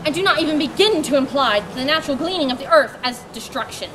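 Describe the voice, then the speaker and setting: A woman's voice: sits high, lively intonation, quick pace. A woman reading the part of a proud, prickly male nature writer in an amateur dramatic reading, emphatic and indignant. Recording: outdoor traffic noise behind. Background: traffic noise.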